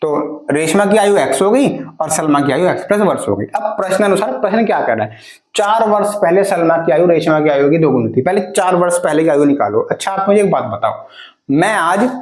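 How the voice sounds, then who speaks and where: A man in his twenties teaching math for an exam, addressing students directly and reading word problems aloud slowly, word by word. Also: a little high, lively intonation, roomy sound. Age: 20s. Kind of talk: exam-prep video lesson.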